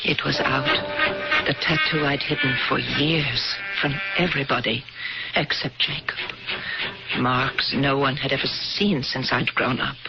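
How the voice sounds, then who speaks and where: An actress playing a polite, reassuring married nurse's aide in a radio drama. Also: female voice, very deep voice, lively intonation, a little slow, gravelly, loud music behind the voice, exaggerated voice. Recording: muffled, very noisy 1970s radio recording.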